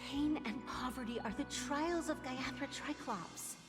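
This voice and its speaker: A gentle, devout big sister in a video game, protective and earnest. Her high voice carries long reverb over clear background music. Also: unhurried. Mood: tender, earnest.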